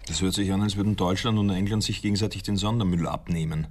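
A man's voice: low voice, fairly even intonation, fast, darkish tone. An actor playing a laconic, sceptical, dry-witted man in a crime radio drama.

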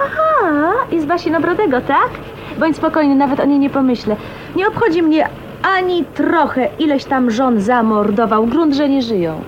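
An actress playing a shy but eager young bride in a radio drama, nervous and earnest, often repeating words hesitantly. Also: female voice, high voice, expressive, moderate pace. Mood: playful. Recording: slightly dull, noisy 1980s radio recording.

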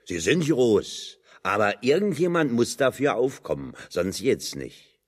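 An actor playing a stocky, blunt metalworker in a radio drama, gruff, plain-spoken and wryly sardonic. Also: male voice, mid-range voice, big pitch swings, a little slow. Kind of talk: German radio drama dialogue.

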